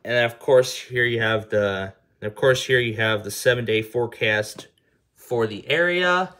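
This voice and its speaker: Guy doing a casual how-to, bright clear-cut voice, repeats himself a bit while explaining each step. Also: mid-range voice, a little slow. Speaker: tutorial host. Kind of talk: casual screen-demo tutorial, explaining step by step. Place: indoor room at a table.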